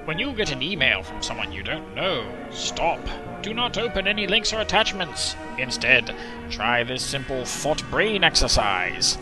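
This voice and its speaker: Man as a pompous, animated educational-film announcer. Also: medium pitch, normal speed, lightly acted. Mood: stern, instructive. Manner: pompous, emphatic, mock-serious. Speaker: narrator. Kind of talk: parody of a mid-century educational film narration. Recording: narration over background music. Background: music.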